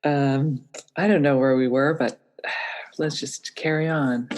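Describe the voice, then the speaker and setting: A woman hosting a podcast interview, speaking softly and gently. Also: extremely low pitch, steady tone, slow pace. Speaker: podcast host.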